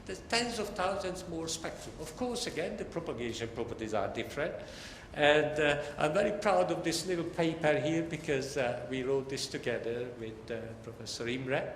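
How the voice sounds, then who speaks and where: A man's voice: a little high, expressive, moderate pace, few pauses. An older man giving a technical lecture as a professor, speaking conversationally with wry asides and emphatic stresses. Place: large lecture hall, indoors. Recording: echoey hall, faint background noise.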